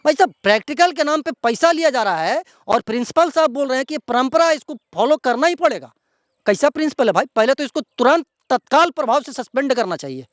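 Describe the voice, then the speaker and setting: Very high-pitched Bihari man, indignant. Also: lively intonation, quick pace, a bit bright, no echo, studio quality. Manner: indignant, emphatic, repetitive. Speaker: local news presenter. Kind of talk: outspoken news commentary to viewers.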